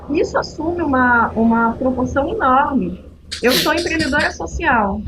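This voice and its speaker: A woman in her forties with a Paraense accent from Belém and a high voice, chatting animatedly and telling stories as a podcast guest. Her audio is slightly muffled, as from a remote call, with a faint hiss behind it. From Belém, northern Brazil. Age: 40s. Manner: storytelling, animated. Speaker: guest, social entrepreneur. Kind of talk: casual podcast conversation.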